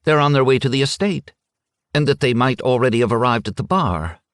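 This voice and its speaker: A man reading an audiobook and voicing an anxious, nervous middle-aged village wife who talks in hesitant, hurried bursts. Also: medium pitch, dry recording, studio quality, voice pushed up, close to an everyday voice. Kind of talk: audiobook character voice.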